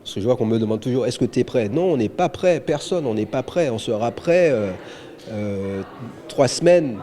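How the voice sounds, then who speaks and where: A man's voice: medium pitch, lively intonation, moderate pace, mellow. A man from Guadeloupe, an amateur sailor, speaking in a press interview with many hesitations and restarts.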